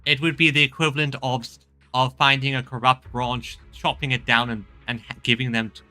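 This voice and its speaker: A man's voice: medium pitch, little pitch movement, brisk, bright, forceful tone, soft background music. A man giving casual, informal commentary as he streams a video game. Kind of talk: casual playthrough commentary, reading and riffing on dialogue.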